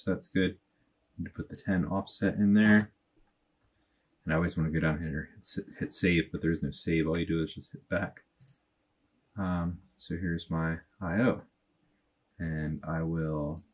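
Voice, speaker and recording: A man in his thirties speaks in a low, slightly husky voice as he talks through a computer tutorial. He thinks aloud in plain, unhurried speech, with the odd filler. He is recorded at a desk in a small room. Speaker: automation tutorial host. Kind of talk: casual screen-recorded tutorial.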